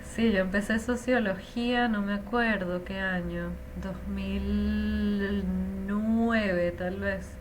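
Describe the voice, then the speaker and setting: A woman's voice: medium pitch, fairly even intonation, takes it slowly, few pauses, slight background noise. A Venezuelan woman in her thirties, an interview guest who talks in a chatty, reflective way. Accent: Venezuelan Spanish. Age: 30s. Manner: chatty, reflective, frequent fillers. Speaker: interview guest, Spanish teacher. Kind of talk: casual conversational interview.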